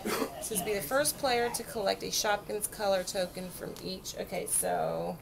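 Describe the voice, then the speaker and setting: A woman's voice: mid-range voice, normal speed, vocal fry, very noisy. A woman in her thirties, a mother at a kitchen table at home, patiently walking her young daughter through a board game, reading the rules aloud and counting the moves.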